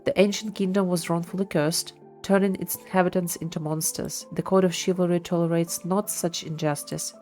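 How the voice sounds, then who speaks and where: A woman's voice: fairly low pitch, flat delivery, moderate pace, hardly any pauses, darkish tone. A woman streamer giving live commentary on a video game and its lore. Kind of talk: live gameplay commentary with lore read aloud. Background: game music.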